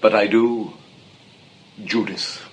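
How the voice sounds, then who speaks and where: Reserved, lowish-voiced English gentleman. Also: steady tone, slow pace, poor audio. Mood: smitten. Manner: hesitant, courteous, understated. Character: polite, reserved, non-committal gentleman guest, gently smitten. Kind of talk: 1920s drawing-room comedy acting.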